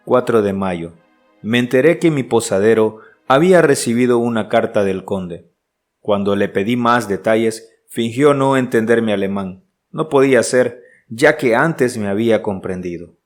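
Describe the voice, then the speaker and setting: An actor playing an earnest, uneasy traveller who narrates his diary in measured, literary style for a radio drama. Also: mid-range voice, faint music behind, lightly acted.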